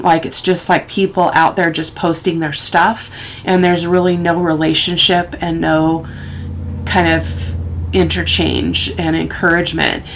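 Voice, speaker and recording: A middle-aged woman with a fairly low voice talks through a craft project at her table. She sounds sniffly from a cold and rambles casually, pausing to think aloud. There is clear noise in the recording, with small clicks. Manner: unhurried, halting, thinking aloud while working. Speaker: crafting tutorial host.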